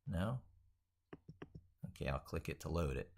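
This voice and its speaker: A man's voice: very deep voice, lively intonation, measured pace, gravelly, a little mellow, slight background noise. A man hosting a casual live screen-share stream, rambling and thinking aloud as he demonstrates things into a microphone. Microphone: speaking into a microphone.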